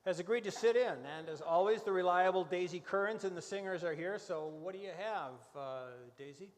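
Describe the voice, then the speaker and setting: A man's voice: sits high, brisk, natural voice. A man playing a genial, orderly host of a live radio variety show. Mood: genial, steering. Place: large church hall, live stage show. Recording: reverberant hall recording.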